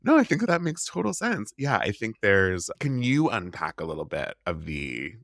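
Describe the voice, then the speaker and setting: A man's voice: fairly low pitch, very animated, moderate pace, a bit bright, no echo, clean studio sound. A man hosting a casual interview podcast, encouraging and reassuring as he prompts his guest. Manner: encouraging, reassuring, frequent brief acknowledgements. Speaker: podcast host.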